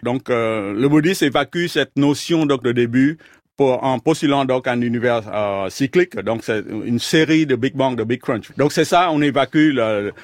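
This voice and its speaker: A man from Vietnam, a scientist guest in a radio interview, explaining his ideas in a measured way, pausing often to search for words. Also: mid-range voice, no echo, studio quality. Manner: measured, thoughtful, halting with many fillers. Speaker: guest, astrophysicist.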